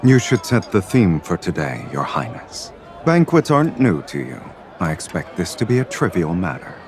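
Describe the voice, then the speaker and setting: A man playing a suave, teasing, self-assured love interest in a video game, speaking in a smooth, wry manner. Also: low-pitched, sing-song, moderate pace, a little hiss or hum. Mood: calm, scheming. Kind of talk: video game cutscene voice acting.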